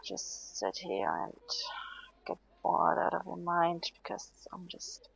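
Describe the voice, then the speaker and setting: A woman playing a tired, stubborn but playful patient in an intimate audio roleplay, murmuring sleepily and haltingly, often close to a whisper. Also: lowish voice, very animated, dull audio, heavily acted. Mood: drowsy grumbling. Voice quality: breathy, often dropping to a whisper.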